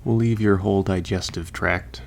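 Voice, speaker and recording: A man's voice: low voice, fairly even intonation, moderate pace, slight background noise. A man playing a chatty, easygoing surgeon who patches up the undead, explaining casually as he works in a listener roleplay.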